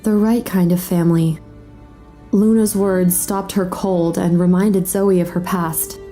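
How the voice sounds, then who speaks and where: A woman's voice: on the low side, fairly even intonation, unhurried, mellow, soft background music. A woman narrating a scripted romantic audio drama as a storyteller.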